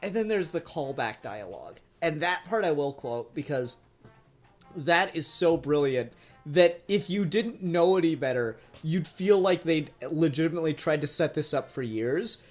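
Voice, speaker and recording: A man's voice: on the high side, expressive, moderate pace, faint music behind. A man co-hosting a podcast, giving opinionated, emphatic takes with plenty of swearing in a casual fan discussion. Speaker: podcast co-host.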